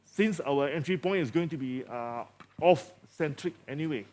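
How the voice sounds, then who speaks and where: A man with a Malaysian English accent, a surgeon presenting a case in halting speech with many fillers and jokey asides. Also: on the high side, a little mellow. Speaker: surgeon leading a live demonstration.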